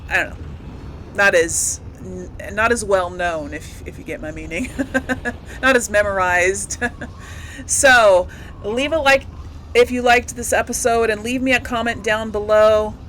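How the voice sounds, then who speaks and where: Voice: medium pitch, a little slow, fairly bright tone, slight background noise, poor audio. A gaming video host giving casual, chatty Let's Play commentary and reacting aloud to the game.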